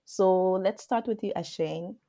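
A woman's voice: mid-range voice, a little slow, mellow. A woman hosting a student podcast, upbeat and welcoming as she introduces her guests. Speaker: podcast host.

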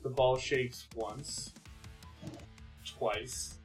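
A man narrates a tabletop game casually as game master. His medium-pitched voice moves in a halting, conversational way, with quiet music behind him. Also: fairly even intonation.

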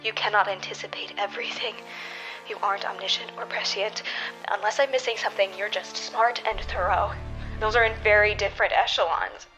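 A woman's voice: mid-range voice, fairly even intonation, brisk, sharp tone, faint music behind, natural voice, low-quality recording. A woman playing a fed-up superheroine in an audio drama, blunt, sarcastic and confrontational. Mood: indignant, sarcastic. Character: fed-up, indignant superheroine with control powers.

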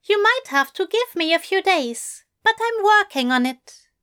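Fussy, earnest gentleman angel, put on by a woman, high and animated. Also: measured pace, exaggerated voice. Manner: bright, fluttery, earnest.

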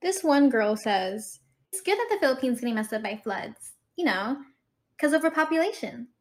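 Woman from the US, slightly high, quick animated anecdote. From United States. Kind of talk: casual storytelling clip.

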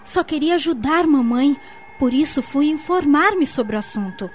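A woman's voice: sits high, expressive, normal speed. A woman playing an earnest young niece in a radio soap opera, explaining things in an articulate, didactic way. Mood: earnest, explanatory. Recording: muffled lo-fi audio with faint noise.

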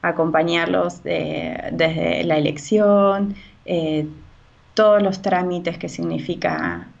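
A woman's voice: fairly low pitch, steady tone, takes it slowly, gravelly, dull audio. A woman from Chile, a real estate agent giving hesitant interview answers full of fillers and restarts.

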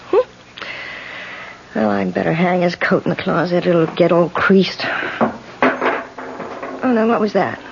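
A woman's voice: medium pitch, creaky. An actress playing a prim, anxious boarding house landlady, proper and hesitant, in a 1970s radio drama. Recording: dull, noisy old radio broadcast.

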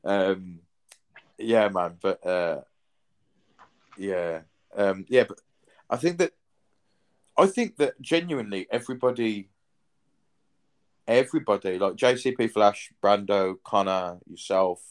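A man's voice: fairly low pitch, slow, long pauses. A man, hosting a podcast in casual chat, rambling with lots of fillers and casual swearing. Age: 30s. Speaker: podcast host.

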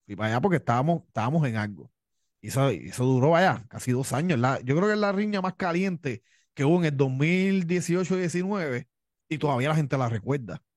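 A man's voice: on the high side, animated, brisk, no room sound, clean studio sound. A man with a Cuban accent, a wrestler telling his story in an interview, boastful and tough, often repeating himself. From Cuba. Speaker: pro wrestler guest.

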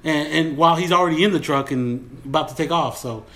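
A man with a Texan accent hosting a casual podcast chat, telling stories in relaxed slang. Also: fairly high pitch, fairly quick. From Texas. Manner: laid-back, slangy storytelling. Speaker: podcast host.

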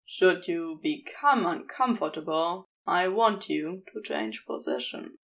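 A woman voicing a commanding but tender male demon lover in a fan fiction audiobook, with deliberate, praising speech. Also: on the low side, creaky, a bit bright, pitched below the performer's own voice, exaggerated voice. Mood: firm, commanding.

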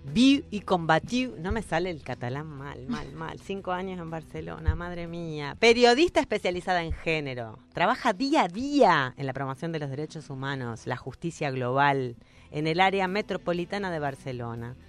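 A woman hosting a radio show in a studio, chatting casually and introducing guests in a lively way. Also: sits low, moderate pace, soft background music. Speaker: radio host.

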